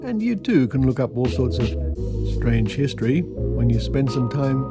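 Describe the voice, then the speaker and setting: A man's voice: medium pitch, big pitch swings, normal speed, loud music behind the voice. A man hosting a podcast, reading out old newspaper clippings with dry, wry asides. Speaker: podcast host.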